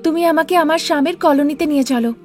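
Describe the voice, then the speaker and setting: A woman's voice: high-pitched, fast talker, faint music behind, voice pushed up, close to an everyday voice. A woman voicing a naive, stubborn young village bride in a cartoon, earnest, emphatic and pleading. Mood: indignant, insistent.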